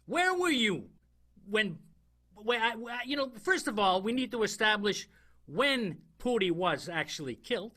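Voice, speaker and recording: A man playing a blustering, bumbling detective in an improvised radio play, halting and repeating himself. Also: extremely high pitch, pushed and bright, full character voice. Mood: puzzled. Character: bumbling, blustering male detective questioning suspects.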